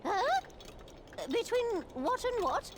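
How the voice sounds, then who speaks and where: A woman voicing a slightly deaf nun clockmaker in a video game, distracted, gentle and apologetic as she works. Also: very high voice, very animated, slow pace, a little hiss or hum, exaggerated voice. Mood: puzzled, chatty. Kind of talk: video game character dialogue.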